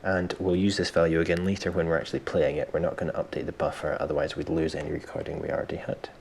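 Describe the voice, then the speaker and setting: A man presenting a software tutorial step by step, informally thinking aloud as he explains. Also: a bass voice, flat delivery, fast talker, gravelly, slight background noise. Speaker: tutorial host.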